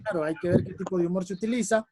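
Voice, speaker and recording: A man with a strong voice teaching a public speaking workshop, animated and repeating examples for emphasis. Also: sits high, rapid-fire, very noisy. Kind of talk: workshop lesson. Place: classroom or online lesson.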